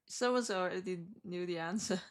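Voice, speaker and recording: A woman from Hong Kong with a heavy Hong Kong accent, chatting with viewers on a live trading stream in halting, self-correcting English. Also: fairly low pitch. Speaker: day trader streaming live.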